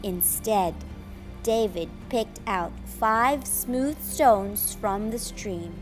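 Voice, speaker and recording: A woman reading a children's story aloud in a dramatic, expressive way. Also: medium pitch, very slow, music in the background. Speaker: storyteller, teacher.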